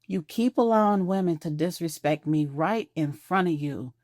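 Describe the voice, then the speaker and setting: A woman's voice: fairly low pitch, lively intonation, normal speed, a little mellow, no room sound, clean studio sound, natural voice. A woman playing a wounded wife in her fifties. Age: 50s. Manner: wounded, pointed. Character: hurt middle-aged wife, wounded and direct.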